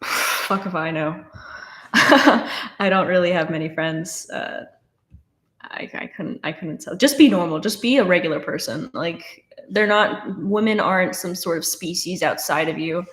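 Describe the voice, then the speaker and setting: A woman's voice: lowish voice, unhurried, a bit bright. A nineteen-year-old woman casually answering chat questions on a live stream, with lots of fillers and restarts. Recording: roomy echo.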